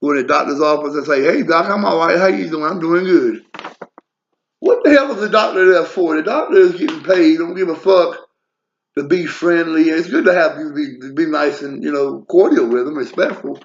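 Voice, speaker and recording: A man's voice: a little high, moderate pace, slight background noise. A man in his forties talking bluntly and profanely in a rambling personal monologue, drifting into asides. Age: 40s. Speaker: vlogger. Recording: lo-fi muffled audio.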